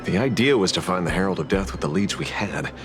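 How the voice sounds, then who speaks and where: An actor playing a gruff, wry investigator in a video game, confident and sardonic. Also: male voice, deep voice, lively intonation, fast. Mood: wry, troubled. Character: gruff, wry, instinct-driven male investigator. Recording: music behind the voice.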